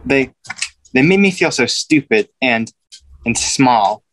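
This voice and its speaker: A man's voice: mid-range voice, animated, slow, fairly bright tone. Man acting as an ashamed, faltering teenage boy. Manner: hesitant, faltering. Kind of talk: script table read.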